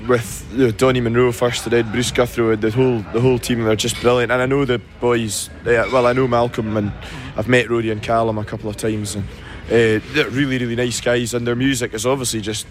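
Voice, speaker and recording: A man in his twenties from the Scottish Highlands, a Gaelic native speaker with a Highland accent, answering interview questions about his band in a friendly, halting way full of ums and restarts. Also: level tone. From Scotland. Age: 20s. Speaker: band singer.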